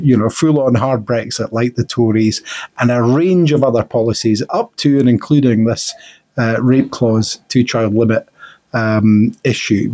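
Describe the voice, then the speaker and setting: A Scottish man hosts a political chat in a dark, mellow voice with a husky edge. He talks with emphasis, often halting and rephrasing. The audio is dull and lo-fi. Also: mid-range voice. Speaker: political podcast host. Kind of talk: political discussion, conversational.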